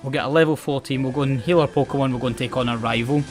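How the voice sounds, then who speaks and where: Young man, west coast Scottish accent, rambling, self-mocking game commentary, mid-pitched voice, faint game music under it. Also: fairly even intonation, racing. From Scotland. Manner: chatty, rambling, self-mocking, often restarts words and laughs. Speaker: gaming channel host.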